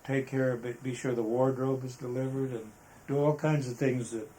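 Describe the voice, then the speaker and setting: An older American man recalls his working past in a casual interview. His delivery is halting and meandering, with mid-range pitch. The recording carries some background noise and room ambience. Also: fairly even intonation, mellow, low-quality recording. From Arizona, United States. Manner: hesitant, halting, rambling. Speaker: interviewee, veteran photographer. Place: indoors, photographer's studio.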